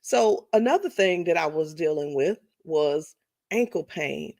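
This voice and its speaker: A woman's voice: low-pitched, expressive, a little slow, gravelly, darkish tone. A woman in her fifties talking casually to her viewers, chatty and repetitive, often restarting her sentences. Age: 50s. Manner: chatty, repeats herself, addresses viewers as y'all. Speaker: vlogger. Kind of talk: casual testimonial monologue.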